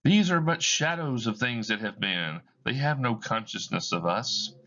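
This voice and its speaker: A man reading aloud as a gentle but insistent male spirit of the past, asking pointed questions. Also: mid-range voice, animated, a little slow, muffled recording. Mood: probing.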